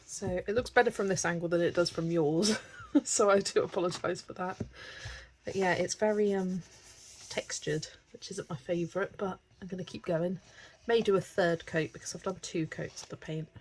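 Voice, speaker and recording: A woman with a slightly hoarse voice hosts a live stream, greeting viewers by name and chatting casually while she colours, often laughing. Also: fairly low pitch, fairly even intonation, moderate pace, a little hiss or hum, rough recording. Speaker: livestream host.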